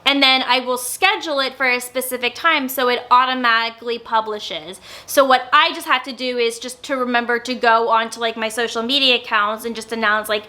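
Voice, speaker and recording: A young woman in a casual sit-down vlog, talking loudly and openly, with some stammering and lots of filler words. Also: on the high side, steady tone, brisk, hardly any pauses. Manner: naturally loud, with stammers and many filler words. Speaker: YouTuber. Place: indoors, sit-down filming setup.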